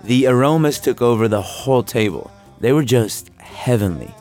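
A man's voice: medium pitch, animated, normal speed, a little mellow, soft background music. A man co-hosting a show, narrating from a script with wry, teasing banter.

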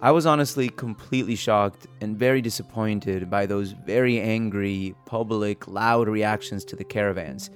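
A man's voice: on the low side, normal speed, few pauses, smooth. A man reporting and hosting in a scripted narration style. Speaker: podcast host and reporter. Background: music bed.

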